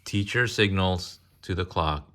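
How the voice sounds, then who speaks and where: Slow, low-voiced man reading aloud. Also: a bit bright, a little hiss or hum. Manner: interactive, pausing to ask and explain. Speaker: adult reading a picture book to a child. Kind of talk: casual read-aloud with chatty asides.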